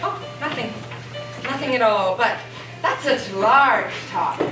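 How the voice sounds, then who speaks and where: A woman's voice: on the high side, expressive, slow, music in the background. A woman on stage playing a coy, polite young niece welcoming guests to a party. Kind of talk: amateur stage acting. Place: school theatre stage. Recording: echoey, distant, noisy stage.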